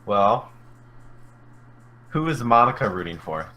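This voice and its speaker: A man's voice: fairly low pitch, big pitch swings, slow, sharp tone. A young man as co-host in a casual anime debate, giving short wisecracking replies.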